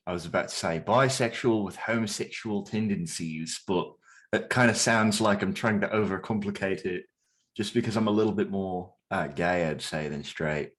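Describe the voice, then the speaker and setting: A man of twenty-one giving casual interview answers, hesitant and rambling with many restarts. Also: fairly low pitch.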